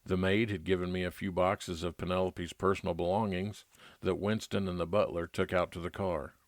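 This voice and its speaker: A very low male voice tells a private eye's story in the first person. The narration is scripted old-time radio drama, recorded close and dry. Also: level tone, normal speed, dry recording. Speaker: narrator playing a private investigator.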